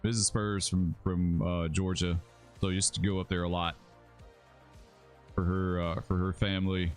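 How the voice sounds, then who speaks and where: A man's voice: deep voice, flat delivery, a little slow, soft background music. Laid-back man streaming a game. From Alabama, USA. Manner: laid-back, rambling, chats with viewers, says dude and bro. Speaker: livestreaming gamer host. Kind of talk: casual live-stream commentary.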